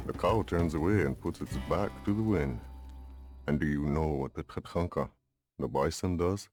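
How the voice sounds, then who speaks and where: An actor playing a wise, resolute older Lakota uncle in a video game, speaking gravely and deliberately. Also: male voice, very deep voice, a little mellow, soft background music. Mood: wise, steady. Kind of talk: scripted game cutscene acting.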